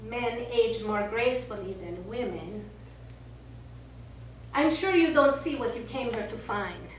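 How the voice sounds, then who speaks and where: An actress on stage playing a bitter, wounded older Italian woman, speaking in a deliberate, accusing manner. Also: on the high side, expressive. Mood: guarded, bitter. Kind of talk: stage play acting. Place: small theatre studio, recorded from afar. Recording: echoey, distant stage recording with hiss.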